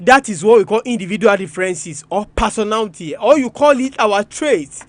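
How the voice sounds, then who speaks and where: A man's voice: high voice, brisk. A man with a Nigerian Yoruba accent presenting an educational radio talk in an emphatic, repetitive, teacherly manner. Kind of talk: educational radio talk, switching between two languages. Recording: music bed under the voice. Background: music.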